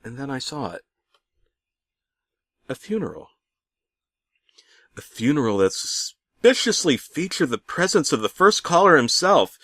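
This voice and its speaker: A man's voice: medium pitch, very animated, pushed and bright, dry recording, clean studio sound. A man reading a scripted first-person horror story in a dramatic, sometimes stammering manner. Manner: dramatic, halting with stammered repeats. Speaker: narrator of a parody horror story.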